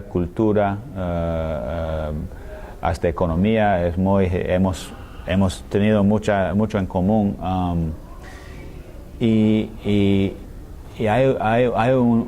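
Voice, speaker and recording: A man, a scholar answering interview questions in a language that is not his one, speaking hesitantly with many fillers, pauses and restarts and often ending phrases with a questioning tag. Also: low-pitched, mellow, a little hiss or hum. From United States. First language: English. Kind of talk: thoughtful conversational interview answers.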